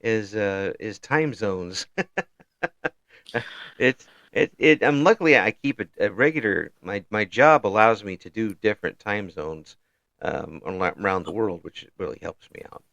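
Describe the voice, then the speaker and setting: A man from Illinois hosting a casual online interview, talking in halting restarts with frequent chuckles. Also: fairly low pitch, expressive, fairly bright tone, dry recording, muffled recording. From Illinois, USA. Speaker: interview show host.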